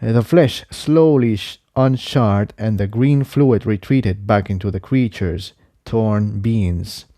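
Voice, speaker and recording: A man reading an adventure story aloud as narrator, in a measured, dramatic storytelling manner. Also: on the low side, mellow, no echo, studio quality. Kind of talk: scripted narration, audiobook-style story reading.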